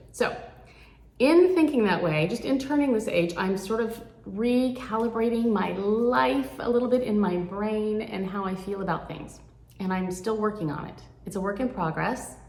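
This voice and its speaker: A woman of sixty talking candidly and earnestly to her viewers, reflecting at a rambling, thoughtful pace. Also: mid-range voice. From United States. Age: 60s. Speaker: vlogger. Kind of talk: candid reflective chat to camera. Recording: echoey room.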